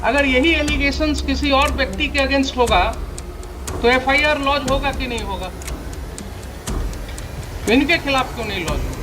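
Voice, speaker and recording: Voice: sits high, lively intonation, normal speed, long pauses, noisy background. A judge with an Indian accent speaking from the bench, stern and admonishing, repeating phrases for emphasis. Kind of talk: courtroom remarks from the bench, switching Hindi and English.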